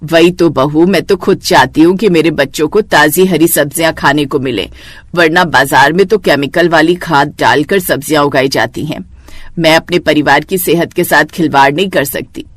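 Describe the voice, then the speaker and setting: Woman putting on a low-pitched older mother-in-law. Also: normal speed, dry recording. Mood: earnest. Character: proud, caring older mother-in-law who grows vegetables. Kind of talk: Hindi moral story voice acting.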